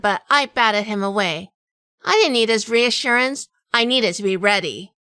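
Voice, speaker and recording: Actress as hard-boiled noir heroine, woman, bright forceful tone, crisp, dry studio sound, wary, scripted audio drama narration. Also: medium pitch, no room sound. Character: tough, wary, armed woman narrating a noir crime story.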